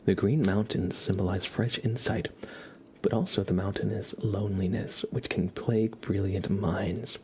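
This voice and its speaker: A man hosting a casual ASMR livestream, chatting and reading cards in a rambling, soft-spoken way that drifts into whispering. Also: on the low side, steady tone, moderate pace. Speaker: livestream host. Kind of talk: casual live ASMR chat with tarot card readings. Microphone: headset. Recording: clear background noise.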